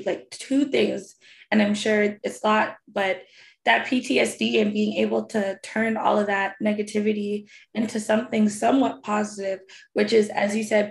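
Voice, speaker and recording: A woman interviewer asking measured questions between short affirmations. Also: mid-range voice, vocal fry. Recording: roomy echo.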